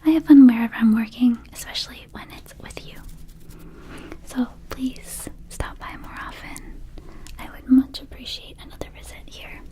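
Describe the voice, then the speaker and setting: A quirky little vampire shopkeeper, played by a woman in an intimate ASMR roleplay. She speaks in a soft, mellow whisper with halting, affectionate delivery, as if confiding in a dear friend. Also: fairly high pitch, natural voice. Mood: affectionate, sheepish. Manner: gentle, halting, intimate, addressing the listener as a friend. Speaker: ASMR performer.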